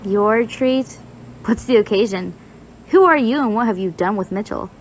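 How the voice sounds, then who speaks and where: A woman's voice: mid-range voice, expressive, moderate pace, a little hiss or hum, close to an everyday voice, rough recording. A woman playing a blunt, teasing young college student with a dry, bossy manner in an audio roleplay. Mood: dry, playful.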